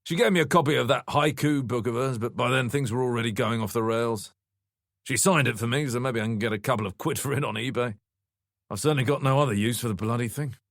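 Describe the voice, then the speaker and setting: A man's voice: medium pitch, fast, fairly bright tone, no room sound, studio quality, pitched above the performer's own voice, lightly acted. A man voicing a brash, raffish rich man in his fifties, breezy, boastful and bluntly scornful, in an audiobook story. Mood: breezy dismissive.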